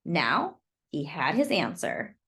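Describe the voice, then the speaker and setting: A woman reading a children's book aloud in expressive storytelling narration. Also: low-pitched, slow, slightly rough.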